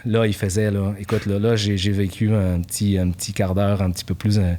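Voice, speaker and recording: A man in his forties from Portugal talks easily as a podcast guest, stopping often on fillers and restarting his sentences. His voice sits fairly low for a man. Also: brisk. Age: 40s. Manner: relaxed, many fillers and restarts. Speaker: guest, company founder. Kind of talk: casual podcast interview.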